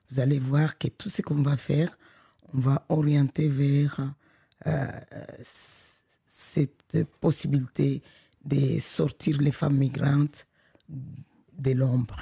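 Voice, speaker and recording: A middle-aged woman from Congo, director of a local association, speaking in an animated, emphatic way with frequent restarts. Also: a bass voice, takes it slowly, gravelly, husky, no room sound, studio quality. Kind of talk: conversational podcast interview.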